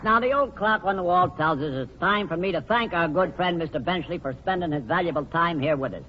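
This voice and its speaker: Man acting grumbling male quiz host, high voice, sarcastic, exasperated, 1940s radio comedy, dull old recording. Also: brisk, heavy background noise, close to an everyday voice. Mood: sardonic.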